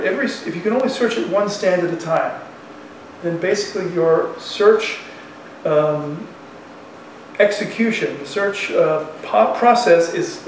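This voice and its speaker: A man in his sixties, a professor giving an informal lecture in a colloquial, conversational way, thinking aloud. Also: high-pitched. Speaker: university economics professor. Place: university classroom in front of chalkboard. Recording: echoey classroom, distant mic, faint noise.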